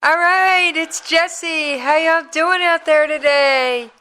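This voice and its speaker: A woman's voice: very high voice, slow, pushed and bright, soft background music. Chatty woman host losing her voice. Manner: chatty, folksy. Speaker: radio show host. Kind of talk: casual radio talk.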